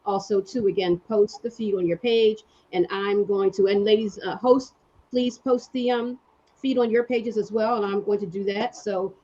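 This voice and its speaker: Husky woman hosting a livestream. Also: medium pitch, steady tone, normal speed, warm tone, muffled recording. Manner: friendly, chatty with fillers, multitasking. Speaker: host and executive producer. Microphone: video call.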